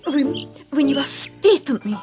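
An actress playing a proper, easily offended young widow of the gentry, haughty and plaintively indignant in a classic radio play style. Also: female voice, sits high, expressive, measured pace. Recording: studio radio play, faint music.

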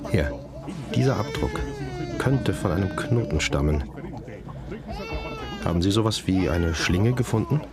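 A man's voice: low-pitched, animated, a little slow, pauses often. A man playing a dry, methodical, matter-of-fact forensic examiner in a radio drama, with music behind his voice. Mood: dry, probing.

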